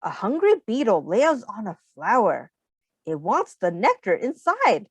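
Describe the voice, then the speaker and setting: Woman reading expressively to children, very animated. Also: mid-range voice, unhurried, husky, dry recording. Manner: instructive, expressive, addressing young children with questions. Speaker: early childhood teacher reading aloud. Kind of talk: children's read-aloud with simple explanations and questions.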